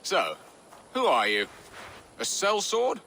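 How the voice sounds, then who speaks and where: A man's voice: sits high, wildly swooping pitch, slow pace, creaky, bright, forceful tone, a little hiss or hum, heavily acted. A man playing a theatrical, self-mocking Saxon lord in a game cutscene, with florid, wry speech. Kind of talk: dramatic game cutscene acting.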